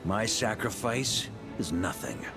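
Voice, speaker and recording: A stubborn, confident veteran knight from a fantasy game. His delivery is theatrical and swings widely in pitch, wry one moment and bold the next, over prominent music. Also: sing-song, takes it slowly. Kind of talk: dramatic video game voice acting.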